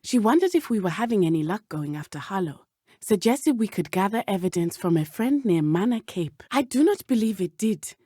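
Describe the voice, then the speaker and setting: Brave teen witch girl from a video game, lively pitch, careful formal wording, dry close sound. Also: slightly rough, no room sound. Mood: serious, determined. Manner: precise, measured, formal diction. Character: earnest, brave teenage schoolgirl witch from Uganda. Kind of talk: video game character dialogue.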